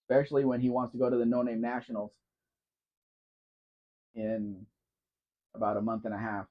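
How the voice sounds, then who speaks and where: A man hosts a relaxed live Q&A, rambling easily and calling out viewers by name. His voice sits in a middling pitch. The audio is dull and muffled. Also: normal speed. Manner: chatty, answers chat by name, wanders while talking. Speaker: livestream host. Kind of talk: casual live Q&A chat.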